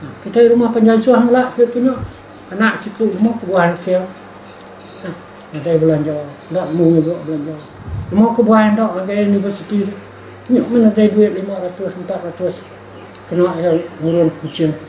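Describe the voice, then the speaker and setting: A man's voice: high voice, moderate pace, dark tone. An older man, speaking informally and emphatically as an interviewee, often repeating himself and adding questioning tags. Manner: emphatic, repetitive, with rhetorical tag questions. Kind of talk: informal spoken reflection. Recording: roomy echo, faint background noise.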